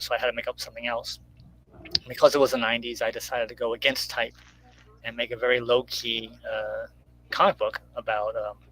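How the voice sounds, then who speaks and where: A middle-aged man chatting casually on a video call, explaining things in a halting way. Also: mid-range voice, a bit bright. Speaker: guest writer and radio show host. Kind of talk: casual chat. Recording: clearly noisy line with hum.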